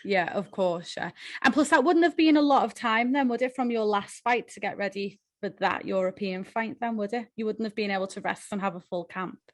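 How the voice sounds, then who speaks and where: A woman hosting a casual interview who chats and leans on tag questions. Her pitch sits in the middle. The recording is close and dry. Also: fairly quick, few pauses, dry recording.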